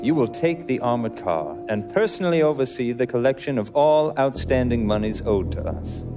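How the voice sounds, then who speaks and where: An actor playing a cold, controlled mob underboss in a video game, speaking in precise, formal phrases with quiet menace. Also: male voice, medium pitch, very animated, normal speed, music in the background, natural voice. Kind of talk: video game cutscene acting.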